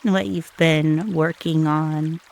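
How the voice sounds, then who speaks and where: A woman leading a guided meditation in a soothing, unhurried way, leaving long pauses between short phrases. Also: deep voice, steady tone, gravelly, a little mellow.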